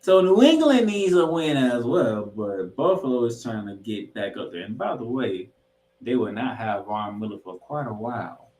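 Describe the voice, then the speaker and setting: A man's voice: mid-range voice, expressive, normal speed, a little mellow. A man co-hosting a sports podcast, previewing games emphatically, posing questions and repeating phrases for effect. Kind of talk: sports talk, game preview banter. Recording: roomy, echoing sound.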